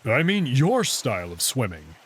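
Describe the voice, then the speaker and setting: Guy doing a big playful wolf dude for a visual novel, kinda raspy, super animated, teasing and cocky. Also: mid-range voice, normal speed, full character voice. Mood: earnest, curious. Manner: teasing, confident. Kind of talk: visual novel character voice acting.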